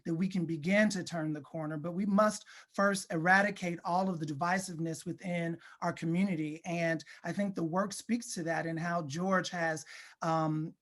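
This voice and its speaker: A man's voice: sits high, a bit bright. A man talking as an interview guest, conversational, articulate and emphatic in making points.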